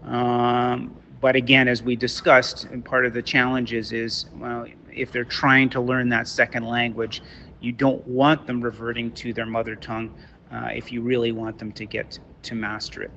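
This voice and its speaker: A man whose first language is French, from Canada, giving a talk to parents as a teacher, halting with many restarts. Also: mid-range voice, completely flat delivery, bright, forceful tone, highs cut off, slight background noise. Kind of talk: online lecture to parents. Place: Toronto.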